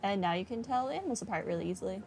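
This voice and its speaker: A woman's voice: medium pitch, expressive, very fast, vocal fry, darkish tone, soft background music. A woman hosting a casual game playthrough, rambling along in a self-deprecating way. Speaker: gaming host. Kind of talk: casual playthrough commentary, reading dialogue aloud.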